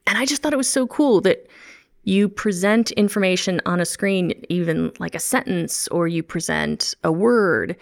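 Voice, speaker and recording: A woman's voice: fairly low pitch, gravelly. A middle-aged woman, chatting in an interview as a language researcher, laughing often and restarting her sentences. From Midwest United States. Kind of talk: casual interview talk.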